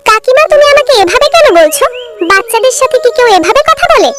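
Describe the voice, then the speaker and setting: A woman's voice: extremely high pitch, expressive, fast. A woman voicing a wistful, kind little girl in a children's cartoon, with a pleading, childlike manner. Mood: hurt, defiant.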